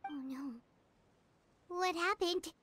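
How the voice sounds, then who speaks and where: An actress voicing a tiny, childlike, curious fairy companion in a video game, squeaky and expressive. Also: female voice, takes it slowly, slight background noise, exaggerated voice. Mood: worried. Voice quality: squeaky, girlish. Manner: inquisitive, expressive.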